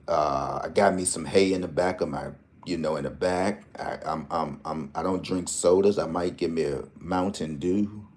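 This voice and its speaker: A man's voice: very deep voice, a little mellow, a little hiss or hum. A man hosting a lottery tips vlog, talking in a casual, joking, rambling way full of slang and asides.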